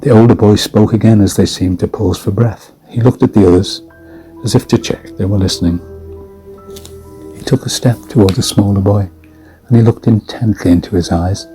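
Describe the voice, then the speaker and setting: Deep, dark-voiced man narrating horror. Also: moderate pace, faint music behind, poor audio. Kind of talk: dramatic audiobook-style story narration.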